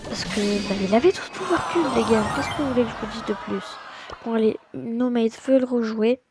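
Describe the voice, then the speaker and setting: A woman's voice: fairly high pitch, brisk. A woman with a slight cold giving a casual, hesitant voice-over of her gameplay, full of fillers and restarts. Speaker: gaming channel host. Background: loud game music.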